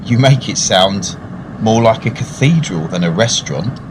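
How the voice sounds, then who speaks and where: An actor playing a genteel gourmet who narrates an eerie radio tale in a measured, urbane, theatrical way. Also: male voice, fairly low pitch, lively intonation, background noise, close to an everyday voice. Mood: polite, amused. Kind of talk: radio horror storytelling, scripted narration.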